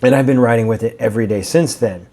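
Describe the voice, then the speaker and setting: A man giving a casual, rambling review of a fountain pen, his voice a bit raspy from allergies. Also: medium pitch, fast, dark tone. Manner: chatty, rambling, with fillers and restarts.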